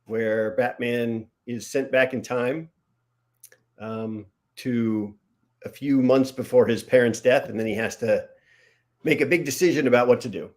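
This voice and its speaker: Brief: middle-aged male co-host, dry deadpan humor, relaxed, webcam audio. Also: medium pitch, measured pace. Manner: dry, joking. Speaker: co-host, television writer. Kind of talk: casual livestream chat. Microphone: video call webcam.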